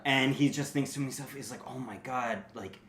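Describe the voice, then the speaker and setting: A man's voice: mid-range voice, normal speed, crowd noise. A man co-hosting a casual book discussion, rambling and joking with plenty of swearing. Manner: rambling, jokey, profane, acts out imagined dialogue. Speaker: podcast co-host. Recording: echoey room.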